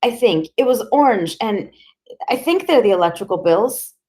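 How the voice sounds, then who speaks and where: A woman's voice: lively intonation, unhurried, a little mellow, close to an everyday voice. A woman voicing a terrified street boy begging and sobbing through a police interrogation. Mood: frantic. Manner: desperate, shrill, sobbing.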